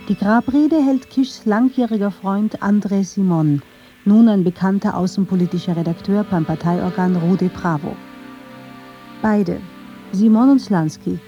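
A woman narrating a scripted documentary in a measured, factual manner. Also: medium pitch, dark tone, dull audio.

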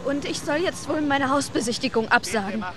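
An excitable, nosy neighbor woman, played by an actress, in a radio play. Her voice is high and she talks in a pushy, fussing way. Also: a little fast, heavy background noise, lightly acted. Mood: agitated.

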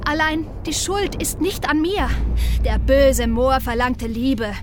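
An actress playing an anxious, honest young maiden in a radio drama, speaking rhymed verse theatrically. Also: female voice, high voice, animated, takes it slowly, background noise. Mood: pleading.

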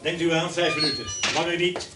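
A man in a radio drama playing a gruff, suspicious jailer with a curt, barking manner. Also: fairly high pitch, fairly even intonation, brisk, close to an everyday voice. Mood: gruff, commanding. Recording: noisy radio play recording.

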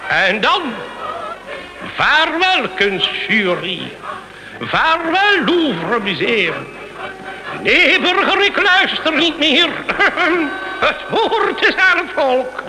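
Very high, sneering revolutionary official. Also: male voice, big pitch swings, very slow, over music, full character voice, poor audio. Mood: triumphant refusal. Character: menacing French revolutionary official addressing an accused citizen. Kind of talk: radio drama acting.

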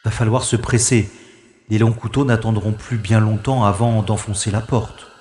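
A man's voice: on the low side, flat delivery, normal speed, a little mellow, natural voice. Man as wry space secret agent, roomy sound. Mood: tense, wry. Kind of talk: French sci-fi audio drama, first-person narration and dialogue. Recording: roomy sound with music behind.

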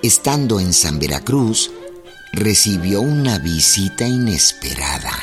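Slow, animated history narrator. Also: male voice, lowish voice, faint music behind. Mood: measured storytelling. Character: storytelling narrator of a revolutionary history. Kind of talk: scripted radio drama narration.

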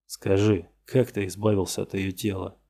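A worn-out detective pressing a suspect in an audiobook crime story, blunt and tired, in a low, dark, husky voice, as a man reads him. The tone stays heavy and level, with little brightness. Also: level tone, normal speed. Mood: weary. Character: weary, hard-pressing male police detective.

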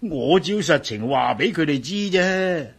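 A man's voice: fairly high pitch, expressive, a little slow, vocal fry, breathy edge, heavily acted. An actor playing a fussy, superstitious old male servant in a radio drama, flustered and stammering with frequent sighs, in Hong Kong Cantonese. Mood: grumbling, fretful. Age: older.

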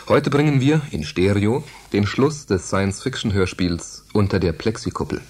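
Low, slightly husky male announcer. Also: lively intonation, normal speed, darkish tone. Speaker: radio announcer. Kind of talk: scripted broadcast announcement.

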